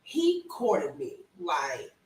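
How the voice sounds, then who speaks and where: A woman in her thirties telling stories in a casual group chat, animated, slangy and laughing often. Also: sits low, drawn-out words, crowd noise. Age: 30s. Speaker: podcast co-host. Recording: echoey room, mic at a distance, faint noise.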